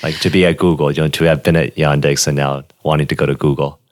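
Very deep-voiced male interviewer. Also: fast talker, no room sound, clean studio sound. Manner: relaxed, affirming, with frequent backchannels. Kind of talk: casual conversational interview.

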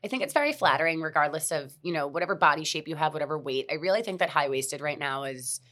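A woman hosting a podcast interview, asking friendly questions and cutting in with quick agreement. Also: low voice, animated, fairly bright tone. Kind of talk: casual interview chat.

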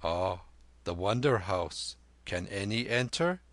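Man playing a slow, gentle old holy man. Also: fairly low pitch, expressive, no room sound. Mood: humble, puzzled. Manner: slow, measured, solemn, archaic phrasing. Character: gentle, weary old Tibetan lama, wandering holy man.

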